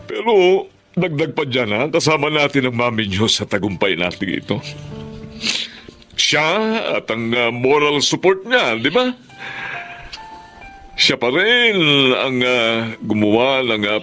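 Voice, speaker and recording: A man's voice: mid-range voice, animated, a little slow, pauses often, pushed and bright, soft background music. An actor playing a devoted, hardworking middle-aged husband and father in an emotional radio drama, with a Filipino accent. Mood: tearful, grateful.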